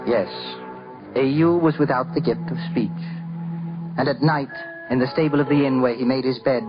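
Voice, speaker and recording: A man playing a tender, theatrical storyteller, narrating a scripted 1950s radio drama in a deliberate, expressive way. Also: mid-range voice, close to an everyday voice. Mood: dramatic, sympathetic. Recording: dull 1950s radio recording. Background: music.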